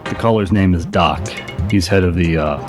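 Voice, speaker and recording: An actor playing a serious, no-nonsense police lieutenant commander in an audio dramedy, terse and matter-of-fact. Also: male voice, low voice, normal speed, close to an everyday voice. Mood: urgent, serious. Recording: music behind the voice.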